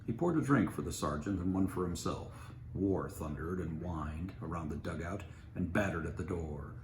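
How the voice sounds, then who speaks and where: A man with a deep voice reads a story aloud. The recording is roomy, with a faint layer of noise. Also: moderate pace. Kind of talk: story narration, reading aloud. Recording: roomy, noisy home recording.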